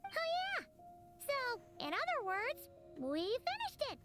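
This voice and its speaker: A woman voicing a tiny, excitable childlike fairy companion in a video game, squeaky and perky. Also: slow, exaggerated voice. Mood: excited. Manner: perky, refers to herself in third person. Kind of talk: video game character acting. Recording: loud game music behind. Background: playful game music.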